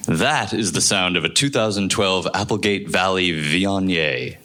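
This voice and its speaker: An exasperated middle-aged husband in a live radio play, acted by a man. His voice sits extremely low, and he argues in a wry, reasoning way. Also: a little slow, pushed and bright, a little hiss or hum. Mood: patient, coaxing.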